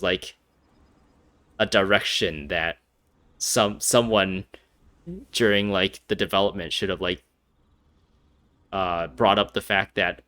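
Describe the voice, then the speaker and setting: A man's voice: sits low, pushed and bright. A man giving casual, rambling commentary over a video game, with many fillers and frequent laughs. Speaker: gameplay streamer.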